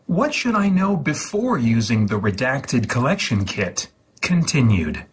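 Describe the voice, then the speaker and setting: A man with a very husky voice reads instructional text aloud. His pace is slow and deliberate, and his pitch swings widely while the delivery stays deadpan, with oddly stretched words. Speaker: host of a gaming livestream channel.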